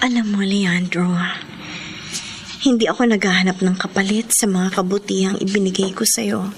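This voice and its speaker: A woman's voice: medium pitch, steady tone, moderate pace, slight background noise, close to an everyday voice. An actress with a Filipino accent playing a devoted woman caring for a sick friend in a radio drama, earnest, imploring and emotional. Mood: tender, encouraging.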